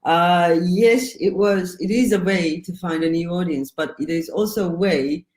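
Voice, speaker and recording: A woman writer from Turkey, whose first language is Turkish, speaking on a panel in a reflective, halting way with short laughs between phrases. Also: on the low side, a little mellow. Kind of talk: panel discussion. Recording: roomy hall sound.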